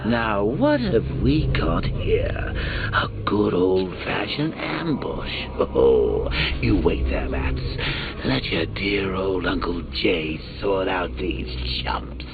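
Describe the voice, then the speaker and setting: A gleeful, taunting clown villain from a video game, with a gravelly, fairly high voice that swoops wildly. He drawls slowly and theatrically between hissed whispers and showman shouts. The sound is thin and phone-like, with music playing underneath. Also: sing-song, exaggerated voice. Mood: mocking.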